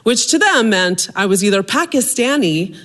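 A middle-aged woman from suburban Maryland telling a funny personal story on stage, with self-deprecating humor and lively comic timing. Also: medium pitch, normal speed.

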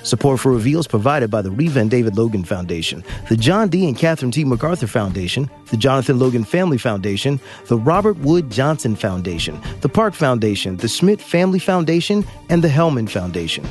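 A man's voice: medium pitch, sing-song, a little fast, few pauses, a little mellow, soft background music. A man hosting a show, reading scripted closing credits in a playful, emphatic manner. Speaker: podcast host.

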